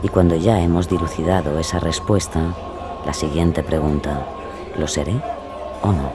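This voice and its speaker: A man with an accent from Spain reads scripted podcast narration in a measured, reflective, literary manner. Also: extremely low pitch, steady tone, over music.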